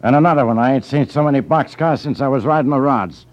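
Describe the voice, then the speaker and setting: A man's voice: mid-range voice, fast talker, natural voice. An actor playing a dim, loyal gangster henchman with an American accent and slangy tough-guy talk in a 1950s radio drama. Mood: tough, wary. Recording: dull 1950s radio recording.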